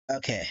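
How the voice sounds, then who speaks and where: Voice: medium pitch, fairly bright tone, husky, lo-fi sound. A live streamer chatting casually in a rambling, giggly way and swearing freely. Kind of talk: casual livestream chat.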